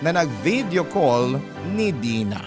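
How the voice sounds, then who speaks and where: A man's voice: mid-range voice, sing-song, takes it slowly. A man working as a radio host, narrating a heartfelt first-person letter in a dramatic storytelling style. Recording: music bed behind voice. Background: prominent guitar music.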